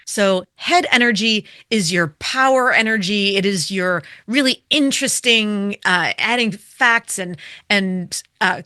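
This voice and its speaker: A woman explains vocal range as a guest expert, her bright, clear voice moving up and down to show her points. She asks questions and demonstrates as she talks. The sound is close and dry. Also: mid-range voice, a little slow, few pauses, dry recording. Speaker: public speaking expert, guest. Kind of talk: explaining and coaching in conversation.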